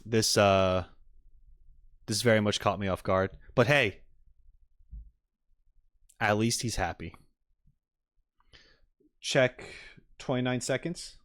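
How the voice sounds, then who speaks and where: A man's voice: on the low side, slow, long pauses, fairly bright tone. Easygoing guy streamer chatting to viewers, takes his time, mutters little asides. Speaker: streamer reacting to game videos. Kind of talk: casual livestream chat, reaction commentary.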